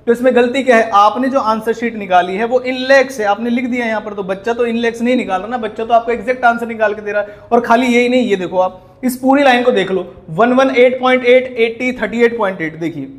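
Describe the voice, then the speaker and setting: A man's voice: very high-pitched, brisk, few pauses. An Indian man teaching in an explanatory commentary style, scolding and indignant, with emphatic rhetorical questions. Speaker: accounting teacher. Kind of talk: explanatory video commentary on exam answer sheets.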